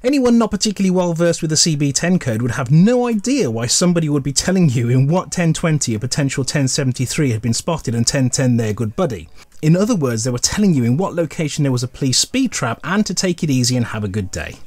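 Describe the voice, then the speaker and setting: A man's voice: on the high side, lively intonation, fast talker, darkish tone, dry recording. A middle-aged British man giving a chatty, scripted retrospective narration with wry, colloquial humour. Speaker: presenter.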